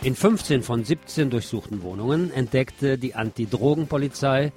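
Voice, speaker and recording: A man's voice: medium pitch, moderate pace, over loud music. A man presenting scripted news commentary as a radio-style narrator.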